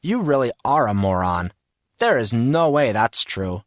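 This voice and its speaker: A man voicing an excitable, teasing teenage boy in an audiobook story, jokey and quick to get indignant. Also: medium pitch, no echo, studio quality, voice pushed up.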